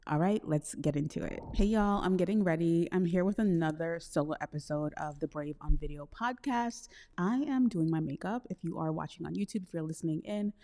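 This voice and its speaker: A woman from Trinidad hosting a solo podcast, talking in a chatty, expressive way with slangy asides. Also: fairly low pitch, fairly quick, hardly any pauses. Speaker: podcast host. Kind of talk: solo podcast, casual self-reflection.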